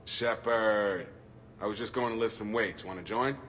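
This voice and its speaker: Man playing a bright, hearty soldier. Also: on the low side, normal speed, a little hiss or hum, natural voice. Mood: upbeat. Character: hearty, energetic male fitness-mad soldier. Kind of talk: video game voice acting.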